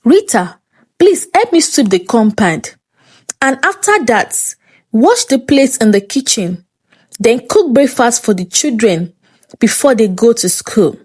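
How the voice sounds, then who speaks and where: A woman's voice: on the high side, very animated, a little slow, darkish tone, husky, exaggerated voice. A Nigerian woman storyteller voicing a selfish, unwelcoming older sister with a curt, dismissive manner. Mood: bossy.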